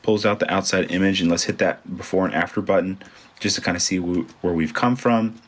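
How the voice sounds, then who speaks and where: Deep-voiced congested man explaining photo edits. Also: fairly even intonation, fairly quick. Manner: thinks aloud while working, informal and chatty. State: congested from allergies. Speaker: photographer teaching photo editing. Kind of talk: casual screen-recorded tutorial.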